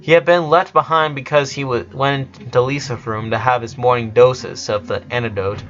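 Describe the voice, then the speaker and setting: A man reading a story aloud as an expressive narrator, voicing dialogue between passages of prose. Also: mid-range voice, moderate pace, highs cut off. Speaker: narrator reading fan fiction.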